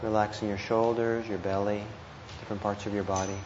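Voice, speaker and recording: A man with a fairly low voice leads a guided meditation in an echoey room. He speaks as a teacher, gently and a little hesitantly, leaving pauses between short phrases. The recording is somewhat muffled, with clear background noise. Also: little pitch movement. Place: indoor meditation hall. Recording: muffled, roomy live talk with noise.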